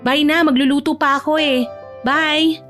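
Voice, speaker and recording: A woman playing a caring, high-voiced young granddaughter. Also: fairly even intonation, moderate pace, a bit bright, soft background music. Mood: breezy. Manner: polite, affectionate. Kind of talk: Tagalog audio drama acting.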